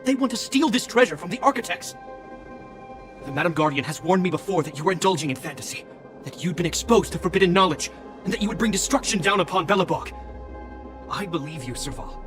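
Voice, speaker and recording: An actor playing a dutiful, stern young guard captain in a video game, speaking in a formal, commanding, earnest way. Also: male voice, sits high. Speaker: military captain. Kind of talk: dramatic video game voice acting. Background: game music.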